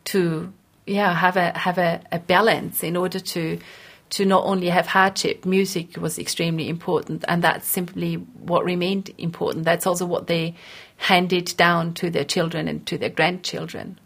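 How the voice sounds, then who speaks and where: A woman interviewed as a researcher, speaking in a slightly low voice. She explains things thoughtfully, often circling back over a phrase as she builds a point. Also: level tone, normal speed, creaky, fairly bright tone.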